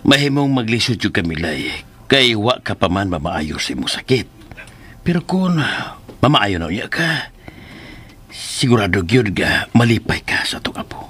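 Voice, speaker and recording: A man playing a caring, hopeful older husband and grandfather with a husky voice in a radio drama. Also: lowish voice, sing-song, normal speed, a bit bright, exaggerated voice. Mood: gentle, encouraging.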